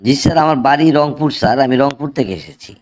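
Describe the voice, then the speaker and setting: A man's voice: mid-range voice, brisk, a little mellow, close to an everyday voice. A man with a Bangladeshi accent voicing a polite, hesitant cartoon quiz contestant who puzzles things out aloud. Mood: deferential. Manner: deferential, musing, hesitant. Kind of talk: cartoon comedy dialogue.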